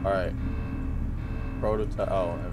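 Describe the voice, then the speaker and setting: A man's voice: low voice, little pitch movement, takes it slowly, loud music behind the voice. A man in his twenties giving casual, sweary playthrough commentary on a horror game, talking to himself as he goes. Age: 20s. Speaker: gaming video creator. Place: bedroom.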